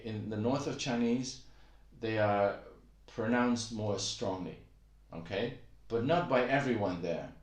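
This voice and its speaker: A man's voice: on the low side, darkish tone. A middle-aged man teaching Chinese pronunciation in a casual video lesson, patient and repetitive, with lots of "uh" fillers. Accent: Taiwanese-accented Mandarin. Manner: patient, repeats himself, many fillers. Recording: echoey room.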